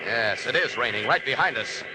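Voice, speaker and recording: An actor playing a confident, commanding superhero in a stern, mock-polite way, in the style of a 1940s radio serial. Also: male voice, mid-range voice, lively intonation, a little fast, over loud music, close to an everyday voice, rough recording. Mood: musing. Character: heroic alien superhero, confident, commanding and wry. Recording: old radio recording, heavy background noise.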